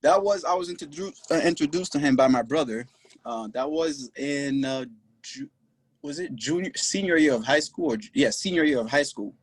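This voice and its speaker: A husky-voiced man in his twenties, from Haiti, talking over a video call from his shop. He speaks earnestly and with pride, in short halting bursts. The sound is dry and close, with little room around it. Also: a little high, animated, no echo. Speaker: podcast guest. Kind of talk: casual interview talk.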